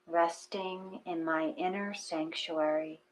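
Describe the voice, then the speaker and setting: A woman reading a gentle guided meditation in a soothing manner, in short phrases with pauses between them. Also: sits low, fairly even intonation, rough recording.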